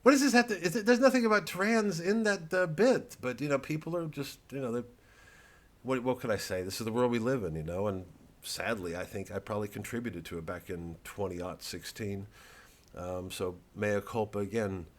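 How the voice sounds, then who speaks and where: A man's voice: mid-range voice, moderate pace. A man chatting casually to camera to promote his show, nervous and rambling, self-mocking, with many restarts. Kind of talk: casual direct-to-camera chat.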